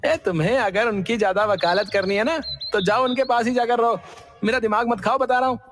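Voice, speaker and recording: A man voicing a touchy, resentful middle-aged brother in a cartoon, sulky and quick to take offence. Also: extremely high pitch, fairly bright tone, slight background noise. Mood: irritated. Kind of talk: cartoon voice acting. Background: background music.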